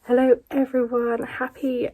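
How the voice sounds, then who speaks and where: A woman's voice: on the high side, completely flat delivery, a little slow, dark tone, poor audio. A young woman chatting enthusiastically about books in a casual vlog. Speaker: book vlogger.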